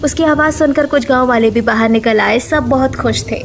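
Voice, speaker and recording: High-pitched woman narrating a cartoon story. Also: moderate pace, background noise.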